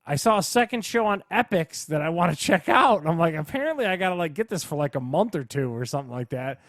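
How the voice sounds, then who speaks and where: Male podcast co-host, fairly high voice, dry close sound, slangy and opinionated casual talk. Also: animated, quick pace, a bit bright, dry recording. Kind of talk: casual chat.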